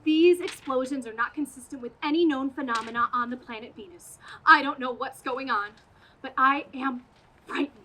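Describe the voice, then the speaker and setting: A woman's voice: high-pitched, moderate pace, sharp tone, slight background noise, rough recording. A woman playing an earnest, self-important scientist's assistant in a comic stage radio play, precise and dramatic. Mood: meek, earnest. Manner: precise, dramatic, correcting others. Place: outdoor stage performance.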